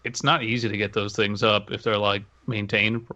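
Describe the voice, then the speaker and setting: A man's voice: on the low side, flat delivery, normal speed, pushed and bright. A man co-hosting a horror podcast, reading a story aloud and breaking off for skeptical, wry asides. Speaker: podcast co-host. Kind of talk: reading stories aloud and critiquing. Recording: dull muffled audio.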